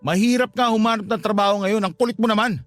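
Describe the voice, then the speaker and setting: A man's voice: sits high, fairly even intonation, fast talker, fairly bright tone, no echo. A man playing a callous, scornful middle-aged husband in a radio drama, dismissive and impatient, with a Filipino accent. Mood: impatient, blaming. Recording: faint music bed behind voice.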